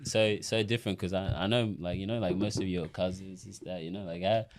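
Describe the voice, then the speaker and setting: A young podcast host with a very low voice and a Sudanese background. He asks long, halting questions padded with "like" and "you know". A faint noise runs under the recording. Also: steady tone. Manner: rambling, stumbling questions, many fillers. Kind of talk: casual interview.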